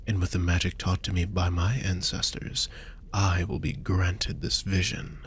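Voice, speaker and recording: A man playing a suave, possessive vampire fortune teller in an intimate audio roleplay, speaking formally and theatrically with breathy murmurs. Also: low-pitched, little pitch movement, normal speed, fairly bright tone, a little hiss or hum. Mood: suave, flattering. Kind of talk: intimate scripted audio roleplay addressed to the listener.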